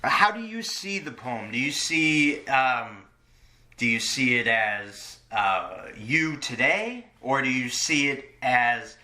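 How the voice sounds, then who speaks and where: A man hosting a casual podcast interview, haltingly feeling his way into each question. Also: mid-range voice, animated, pushed and bright.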